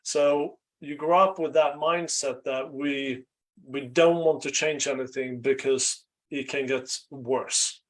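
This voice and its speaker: A middle-aged man from Sweden speaks reflectively as an interview guest, pausing often and checking in with "right?". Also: medium pitch, steady tone. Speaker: podcast guest, life coach.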